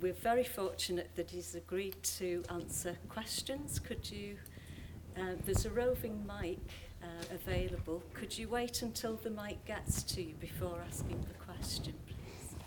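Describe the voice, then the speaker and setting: A woman's voice: mid-range voice, unhurried. A woman chairing a lecture, politely thanking the speaker and organizing audience questions. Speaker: event chair. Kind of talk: formal hosting. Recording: noisy hall recording.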